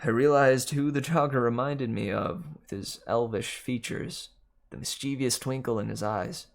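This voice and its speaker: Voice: medium pitch, fairly even intonation, normal speed, gravelly. A reader narrating a children's novel aloud in a storybook style. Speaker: audiobook reader.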